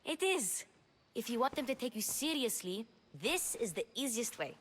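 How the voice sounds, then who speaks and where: A woman's voice: on the high side, big pitch swings, moderate pace. A woman playing a cheerful, bossy young girl in a video game, confident and matter-of-fact. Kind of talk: video game dialogue acting.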